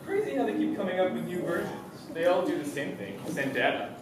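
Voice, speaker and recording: Guy doing a dismissive commuter in a stage play, swingy pitch, echoey noisy hall, far from mic. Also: sing-song, fast talker. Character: brisk male city commuter, mildly dismissive stranger. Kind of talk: theatrical stage acting. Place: theater stage.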